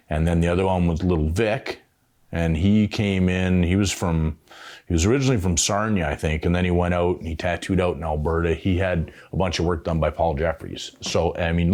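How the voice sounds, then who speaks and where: A Canadian man in his fifties telling stories casually as an interview guest, in a halting, thoughtful way. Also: low voice, darkish tone. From Ontario, Canada. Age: 50s. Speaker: veteran tattoo artist, interview guest. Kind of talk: casual reminiscing, storytelling.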